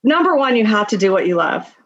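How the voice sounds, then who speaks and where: A woman's voice: mid-range voice, big pitch swings, fast. Woman in her fifties, dull lo-fi audio. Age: 50s. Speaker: interview guest, lawyer. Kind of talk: conversational personal storytelling.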